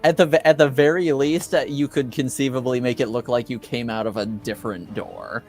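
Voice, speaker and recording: A man speaking as game master, improvising descriptions for a tabletop game in a hesitant, halting way. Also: mid-range voice, fairly even intonation, soft background music.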